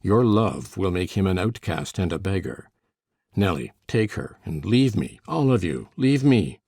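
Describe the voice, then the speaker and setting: A woman's voice: a bass voice, very animated, a little slow, warm tone, husky, dry recording, studio quality, voice pushed down, exaggerated voice. A woman voicing a menacing, tyrannical older man, the master of the house, in a savage, commanding manner for an audiobook. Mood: grim, menacing. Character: furious, menacing older male master, tyrannical father-in-law.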